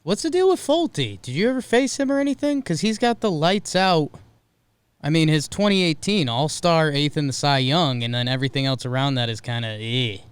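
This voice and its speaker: Male podcast co-host, casual sports talk, crisp tone, very dry room. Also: fairly high pitch, animated, a little fast, no echo.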